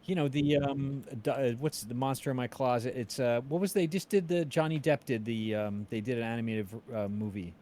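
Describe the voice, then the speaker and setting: A man's voice: mid-range voice, brisk, hardly any pauses, darkish tone. A middle-aged man chatting casually on a group stream, thinking aloud as he tries to recall things. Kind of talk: casual chat.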